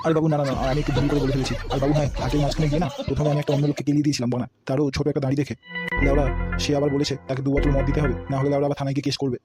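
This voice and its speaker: A scheming cartoon servant, acted out by a man, sly and foul-mouthed. He grumbles in a flat tone at a very quick pace. Music plays clearly behind him. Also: medium pitch, natural voice. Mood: defensive, irritated. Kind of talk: comedy cartoon dialogue.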